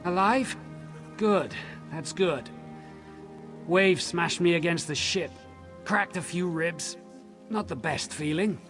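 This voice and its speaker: Slow, high-voiced wounded elf soldier. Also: male voice, a bit bright, soft background music. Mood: pained, stoic. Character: battered male elf marine, stoic and dryly wry. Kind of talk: fantasy video game dialogue.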